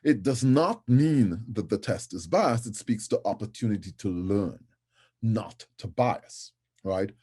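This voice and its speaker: A middle-aged man from Trinidad and Tobago giving a conference lecture in a conversational way, often checking in with "right?" and filling pauses with "um". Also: on the low side, expressive, dark tone, slightly rough. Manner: conversational, with frequent tag "right?" and fillers.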